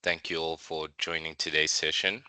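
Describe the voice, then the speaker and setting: A man's voice: extremely low pitch, little pitch movement, moderate pace, sharp tone, clear, resonant tone, no echo. A man hosting a webinar, formally and politely welcoming attendees and explaining housekeeping. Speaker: webinar host. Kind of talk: scripted welcome and housekeeping for an online session.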